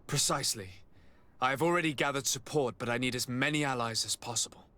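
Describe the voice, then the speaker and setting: A man playing an earnest, courteous noble diplomat in a video game cutscene, speaking formally and in measured phrases. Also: mid-range voice, pushed and bright, lightly acted. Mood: grateful, formal. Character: earnest, courteous young noble diplomat, burdened by family betrayal.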